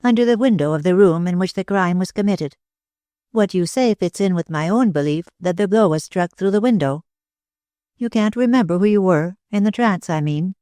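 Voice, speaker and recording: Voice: medium pitch, moderate pace, warm tone, dry recording, studio quality, voice pushed up, natural voice. A reader voicing an anxious young woman who presses with urgent, faltering questions, in an audiobook.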